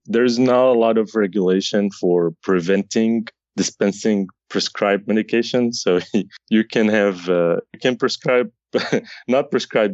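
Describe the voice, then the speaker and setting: A man's voice: on the low side, darkish tone, no room sound, dull audio. A man in his thirties from Syria, a pharmacist answering podcast interview questions in a conversational way, often restarting his sentences. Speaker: pharmacy informaticist guest.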